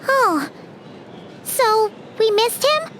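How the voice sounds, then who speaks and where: A very high, bouncy little fairy girl who chatters eagerly and swoops up and down as she talks. Her game dialogue sits over music. She sounds like a playful animated sidekick. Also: extremely slow, full character voice. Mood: chatty. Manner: eager, chattering, refers to herself by name. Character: tiny floating fairy girl companion, chatty and curious. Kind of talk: game voice acting.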